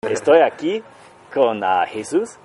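Male podcast host, slow and halting, voice rises and falls a lot, hunts for words. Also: mid-range voice, big pitch swings, darkish tone, slight background noise. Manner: halting, searching for words in a second language. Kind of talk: casual podcast chat. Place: living in China.

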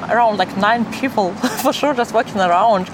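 Young woman, Russian accent, animated casual storyteller, recorded outdoors amid loud city traffic noise. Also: medium pitch, normal speed. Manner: animated, giggly, uses filler "like" heavily. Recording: noisy city street with traffic.

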